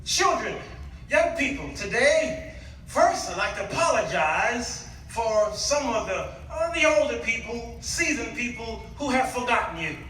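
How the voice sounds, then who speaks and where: A man's voice: very high-pitched, sing-song, measured pace, pushed and bright. A man preaching a church sermon, moving between conversational storytelling and emphatic exclamation. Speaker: guest preacher. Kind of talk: animated church sermon with call and response. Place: indoors at a church. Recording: echoey church hall, distant mic, faint noise.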